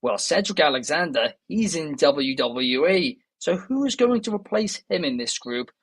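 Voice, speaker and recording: A man's voice: a little high, big pitch swings, slightly rough, dry recording. Chatty Welsh man, dry close sound. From Wales. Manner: chatty, enthusiastic, quick asides to viewers. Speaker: podcast host. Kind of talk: casual news chat.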